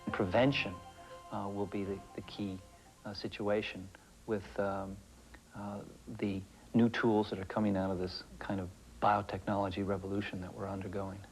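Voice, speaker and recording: Low, flat, hesitant man being interviewed. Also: moderate pace, poor audio. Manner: hesitant, searching for words. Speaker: medical expert interviewee. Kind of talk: unscripted interview. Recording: 1980s TV interview, faint music.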